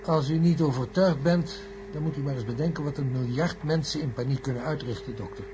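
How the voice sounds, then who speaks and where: A man's voice: medium pitch, fairly quick, close to an everyday voice. A man playing a grave, learned professor in a science-fiction radio play, formal and pedantic as he explains. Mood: grave, explanatory. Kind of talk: radio drama acting. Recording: noisy old radio recording with hum.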